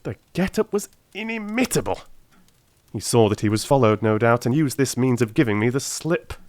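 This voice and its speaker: A man performs a self-assured gentleman detective for a dramatised audiobook. His voice is lifted high and swings with emphasis, exclamatory and commanding, in a close, dry sound. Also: animated, moderate pace, dry recording, close to an everyday voice. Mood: sharp, indignant, then brisk, assured. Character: sharp, confident male detective, excitable, self-assured, vexed at being fooled. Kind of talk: theatrical dramatised audiobook acting.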